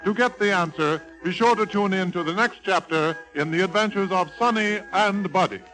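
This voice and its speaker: Male old-radio announcer, high pitch, dramatic cliffhanger narration. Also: normal speed, low-quality recording. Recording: old radio broadcast with music.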